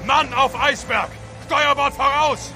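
Urgent, high-pitched sailor. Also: male voice, steady tone, slow pace, music in the background, heavily acted. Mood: excited. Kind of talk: radio drama acting.

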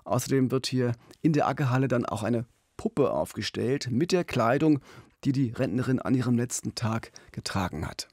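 A man's voice: medium pitch, expressive, moderate pace, darkish tone, dry recording. A middle-aged man telling a true-crime story as a podcast host, close on a studio mic. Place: small radio studio. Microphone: close studio mic on boom arm.

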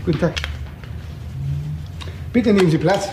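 An actor playing a cold, commanding crime boss with a formal, icy manner in a radio crime drama. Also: male voice, high voice, a little slow, noisy background. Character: cold, menacing male crime boss, controlled and threatening. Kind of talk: German crime radio play acting.

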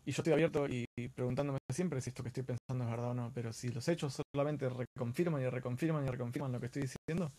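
A man's voice: mid-range voice, fairly even intonation, fast, few pauses, warm tone. A man with an Argentine Spanish accent, talking casually and earnestly as the host of a live stream, often repeating words for emphasis.

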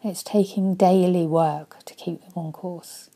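A woman in her forties, a life coach, speaking earnestly and softly into a webcam in her living room, often dropping to a breathy near-whisper. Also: on the low side, fairly even intonation, measured pace. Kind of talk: motivational vlog talk.

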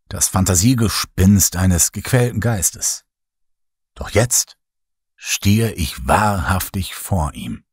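A man narrating a horror audiobook in the first person, measured, somber and suspenseful, with dramatic delivery. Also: lowish voice, husky, dry recording, studio quality.

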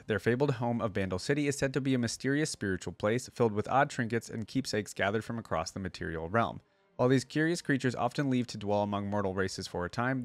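A young man with a fairly low voice talks casually on a close, dry microphone. He hosts like a reaction streamer, moving between reading text aloud and thinking out loud in a conversational way. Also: no echo. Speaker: reaction video host. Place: indoor gaming room. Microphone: close desk microphone.

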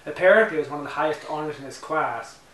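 A man's voice: a little high, racing, a bit bright, natural voice. A young man playing a polite, deferential advisor who talks formally, as if giving a briefing, recorded in a living room. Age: young adult. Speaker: actor in an amateur mob drama. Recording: roomy room audio from a far camera, faint hiss.

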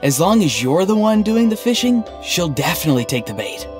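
Deadpan, earnest young man. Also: high voice. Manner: deadpan, halting, stiffly sincere. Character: earnest, odd, melancholy young man who tends vegetables. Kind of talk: video game dialogue acting. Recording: game music underneath. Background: background game music.

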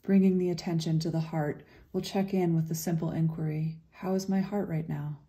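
A woman leading a guided meditation in a gentle, unhurried, soothing way, leaving long pauses between phrases. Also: sits low, fairly even intonation.

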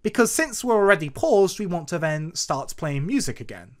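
Coding screencast presenter, very animated intonation, explains each step as it is typed. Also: medium pitch, normal speed. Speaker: programming tutorial presenter. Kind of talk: explanatory screencast tutorial, narrating code aloud.

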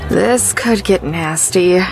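A woman's voice: lowish voice, slow pace, over music, a crowd in the background, low-quality recording. A woman playing a blunt, practical young woman with a dry, guarded manner in a visual novel. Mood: wary.

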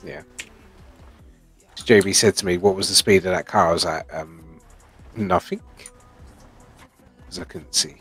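A man's voice: low-pitched, measured pace, long pauses, a bit bright, slightly rough, faint music behind. A man chatting casually with a fellow player during an online roleplay game. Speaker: roleplay gamer playing a police trainee.

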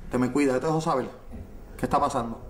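An actor playing an anxious man who must break bad news, calming a distraught woman. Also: medium pitch, echoey room, heavy background noise, lightly acted. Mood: soothing. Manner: nervous, soothing, hurried. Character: anxious man who brought his friend to the city.

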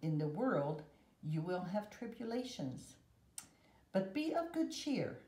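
In a roomy, echoing space, an older woman reads a picture book aloud. Her voice is mellow and fairly low, and she takes careful, measured phrases. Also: sing-song. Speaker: guest story reader. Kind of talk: read-aloud children's picture book with Bible verses. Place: room at home. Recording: roomy echo.

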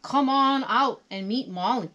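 A woman's voice: a little high, a little slow, pushed and bright, muffled recording, voice pushed up. A woman voicing a big, friendly male bird in a children's storybook, childlike, simple and welcoming.

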